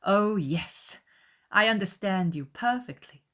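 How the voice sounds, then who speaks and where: A woman's voice: mid-range voice, expressive, takes it slowly, a bit bright, pitched above the performer's own voice. A woman voicing a witty, self-possessed young lady in a dramatized audiobook, playful and articulate. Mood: teasing.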